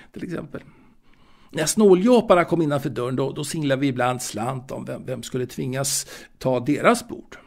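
An actor playing a fastidious, proud waiter and former seaman in an audio drama monologue, confiding in a halting, repetitive way. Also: mid-range voice, vocal fry, a little mellow. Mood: prim, aggrieved. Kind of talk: intimate dramatic monologue, told to a listener.

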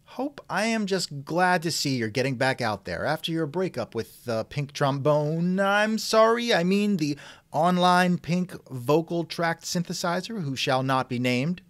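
Theatrical, animated man hosting comedy. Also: on the high side, measured pace, no echo. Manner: theatrical, wisecracking. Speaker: podcast host and interviewer.

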